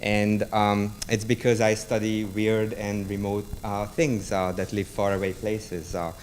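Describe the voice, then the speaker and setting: Halting Hungarian-accented male lecturer. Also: on the low side, little pitch movement, darkish tone, slight background noise. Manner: halting, many ums and restarts. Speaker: university lecturer. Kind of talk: public book talk.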